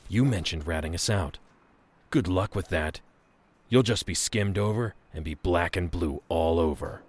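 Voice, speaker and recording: An actor playing a smug, bossy gang leader in an audio drama, domineering and condescending. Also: male voice, deep voice, measured pace, heavy background noise. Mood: threatening. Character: gang leader grunt, menacing, smug, bossy adult man.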